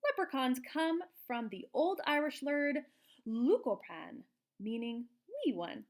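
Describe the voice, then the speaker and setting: Lively, high-pitched woman reading to children. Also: takes it slowly. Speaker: children's storybook reader, teacher. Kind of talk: picture book read-aloud for young children.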